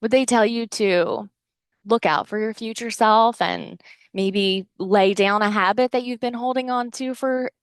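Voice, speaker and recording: A woman's voice: medium pitch, steady tone, a little slow, smooth, no echo, clean studio sound. A middle-aged American woman chatting casually and encouragingly, like a podcast host giving a motivational talk. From New Jersey shore, United States. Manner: chatty, encouraging, conversational asides with frequent "right?".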